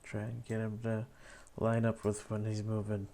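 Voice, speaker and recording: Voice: mid-range voice, completely flat delivery, moderate pace, creaky, mellow, slight background noise. A video editor giving casual screen-recording commentary, musing to themselves while working. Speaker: video editor narrating own editing session.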